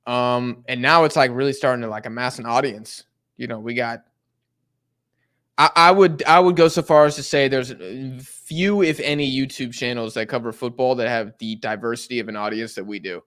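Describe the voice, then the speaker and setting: A man's voice: mid-range voice, moderate pace. A young man hosting a casual football watch-along live stream, reading chat messages and rambling in slang with lots of fillers. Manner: slangy and rambling, lots of fillers, sudden excited outbursts at the match. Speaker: live stream host reacting to a football match.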